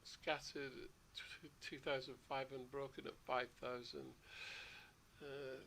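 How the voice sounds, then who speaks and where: A man streaming a flight simulator, chatting casually with rambling asides, his voice a little hoarse. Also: medium pitch, level tone, slow pace, pushed and bright, slight background noise. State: slightly hoarse. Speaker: flight sim livestreamer. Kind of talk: casual livestream commentary.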